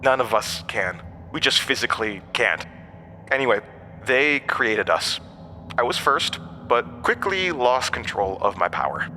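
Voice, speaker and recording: A piercingly sharp, forceful voice rings through a long, cavernous reverb. It is an ancient inhuman outcast, bitter and manipulative, telling its tale with taunting theatrical emphasis, as in a horror audio drama. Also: male voice, mid-range voice, very animated, a little slow, slight background noise, heavily acted. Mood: bitter, wounded.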